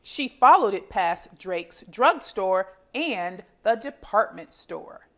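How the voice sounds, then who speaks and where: A woman hosting a children's phonics lesson and storybook read-aloud, enunciating deliberately and expressively as a teacher does. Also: medium pitch, expressive.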